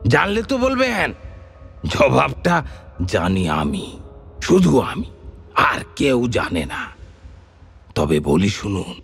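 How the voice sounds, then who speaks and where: A man's voice: mid-range voice, huge pitch swings, takes it slowly, creaky, long pauses, fairly bright tone, soft background music, exaggerated voice. An actor playing a rustic male bandit, telling bitter tales in an emphatic, theatrical way, full of rhetorical questions, for an audio drama. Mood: impassioned, questioning. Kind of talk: dramatised audio story dialogue.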